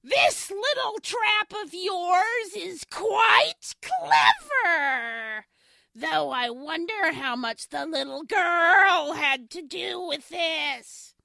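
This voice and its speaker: A woman's voice: extremely high pitch, animated, extremely slow, vocal fry, bright, forceful tone, no room sound, heavily acted. A woman playing an ancient, vengeful hag demon in a supernatural audio drama, speaking with haughty, archaic menace. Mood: sly menace.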